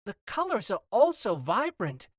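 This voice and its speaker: A man's voice: sits high, wildly swooping pitch, unhurried, no room sound, studio quality, pitched above the performer's own voice, heavily acted. A man voicing a curious, spirited young noblewoman with a lightly raised voice. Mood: wondering.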